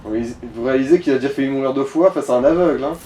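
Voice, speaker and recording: A man's voice: mid-range voice, rapid-fire, mellow, a little hiss or hum. A man giving casual, colloquial and sometimes sweary co-commentary on someone else's video game play. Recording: distant mic, game music behind.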